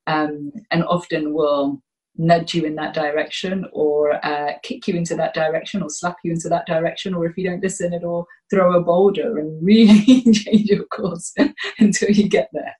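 A woman's voice: on the low side, steady tone, moderate pace, mellow. A woman in her forties, a podcast guest, telling reflective stories, often correcting herself and laughing. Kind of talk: reflective interview storytelling.

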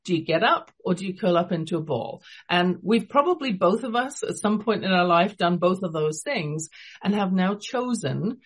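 A woman's voice: on the low side, lively intonation, normal speed, breathy edge. English-accented woman hosting a radio interview. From England. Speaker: radio show host.

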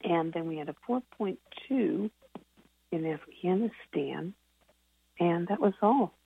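Gravelly low-voiced woman on phone. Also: lively intonation, takes it slowly. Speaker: call co-host reading reports and healing list. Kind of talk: informal spoken updates to call listeners. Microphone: telephone. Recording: muffled, narrow phone conference line.

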